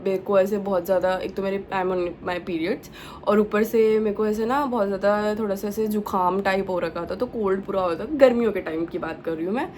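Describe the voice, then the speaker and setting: A woman's voice: medium pitch, fairly even intonation, fairly quick, darkish tone, slight background noise. A young woman from India, a native Punjabi speaker, chatting casually in a vlog and sprinkling English words and fillers into her talk. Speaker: vlogger. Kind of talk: casual chat.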